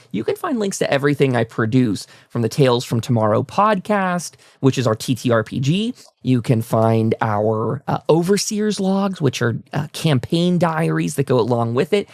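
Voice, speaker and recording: A man's voice: mid-range voice, vocal fry, no room sound, studio quality. A man hosting a talk show, chatting in a casual, rambling podcast style as he talks to his audience. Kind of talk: casual podcast outro, plugging websites and upcoming episodes.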